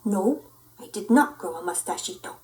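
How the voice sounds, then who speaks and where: A woman's voice: mid-range voice, expressive, normal speed, a little mellow, roomy sound, far from the mic, natural voice. A woman voicing a brave little male cat who poses as a chihuahua in a storybook, with dramatic, Spanish-sprinkled talk. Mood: defensive.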